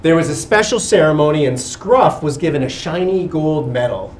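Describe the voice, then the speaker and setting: A man giving an expressive picture-book reading to children, pausing for little asides. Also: fairly high pitch. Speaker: guest reader at a school. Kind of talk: animated picture-book read-aloud to children. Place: indoor school room with children. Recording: roomy, distant mic with background noise.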